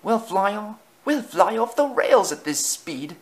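A man's voice: big pitch swings, unhurried, exaggerated voice. A man voicing a flustered female railway coach in a children's story, crying out in alarm. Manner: crying out in high pitch.

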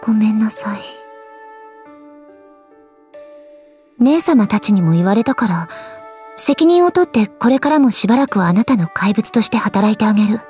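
A woman voicing a cool, aloof, quietly caring gorgon swordswoman in a game, languid, deadpan and curt, with a soft, husky voice. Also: mid-range voice, fairly quick, soft background music, close to an everyday voice. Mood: reluctant, contrite. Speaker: voice actress. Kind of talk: scripted Japanese mobile game character lines.

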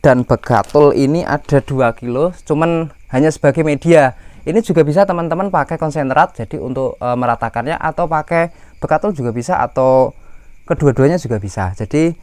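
A man's voice: fairly high pitch, brisk, a little mellow, no room sound. A man from Indonesia giving an informal step-by-step farming tutorial as the host, explaining things in a somewhat hesitant way and addressing viewers directly. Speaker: livestock farmer and channel host.

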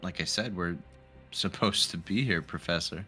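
A man's voice: sits low, normal speed, pushed and bright, slightly rough, soft background music. A man playing a tabletop role-playing game, talking casually in character.

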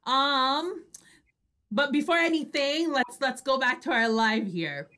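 A high, bright-voiced woman co-hosts a live online show. She chats casually at an unhurried pace, bubbly and quick to giggle. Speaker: online radio show co-host.

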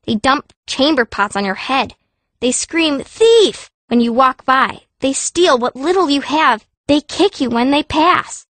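A sharp-tongued young girl mage tells her own story in a fantasy audiobook. Her voice is fairly high, blunt and cranky, recorded close and dry. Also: expressive, a little slow, no room sound. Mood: bitter, insistent. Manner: blunt, grumbling. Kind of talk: first-person audiobook narration.

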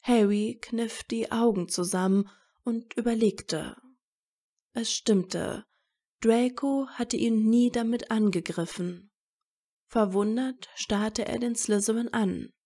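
A woman's voice: fairly high pitch, takes it slowly, mellow. A woman reading scripted story narration as the narrator of an audio fiction.

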